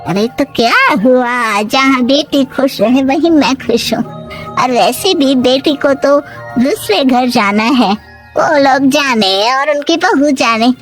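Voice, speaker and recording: A woman plays a middle-aged, suspicious mother-in-law from a cartoon moral tale. Her voice is very high and swings widely as she grumbles and frets dramatically. Faint music sits behind her. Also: expressive, slow pace. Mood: confiding. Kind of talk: animated moral story dialogue.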